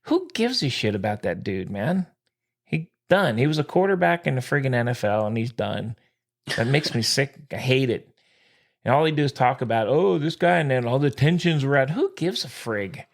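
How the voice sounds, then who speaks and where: Ranting man with lively pitch. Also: moderate pace. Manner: ranting, profane, exasperated. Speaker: podcast co-host. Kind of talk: casual sports chat and rant.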